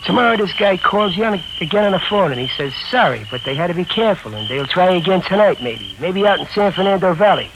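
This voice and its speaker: A man's voice: high voice, expressive, quick pace, few pauses, muffled recording. An actor playing a blunt, loyal tough-guy sidekick who talks in streetwise slang, in 1940s radio style. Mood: grumbling. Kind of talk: 1940s radio comedy-noir acting. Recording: noisy vintage 1940s radio broadcast.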